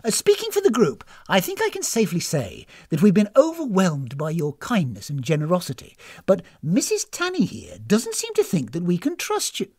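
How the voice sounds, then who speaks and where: A woman's voice: fairly low pitch, huge pitch swings, normal speed, few pauses, dark tone, slightly rough, dry recording, studio quality, voice pushed up, full character voice. A woman voicing a loose-lipped, tactless woman companion in a storybook, earnest and blundering. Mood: chatty, earnest. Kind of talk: storybook character voice.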